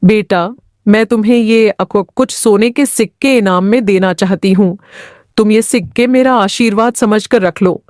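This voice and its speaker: A woman playing a kindly, grateful old village woman in a cartoon story, speaking in a gentle motherly manner. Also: medium pitch, normal speed, no echo, studio quality, lightly acted.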